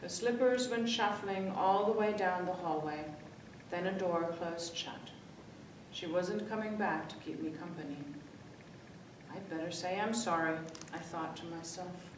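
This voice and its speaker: Female children's storyteller, expressive read-aloud, heard at a distance in a very reverberant room. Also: a little slow. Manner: expressive, acts out the dialogue. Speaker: library story-time reader. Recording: echoey room, distant mic, faint rumbly noise.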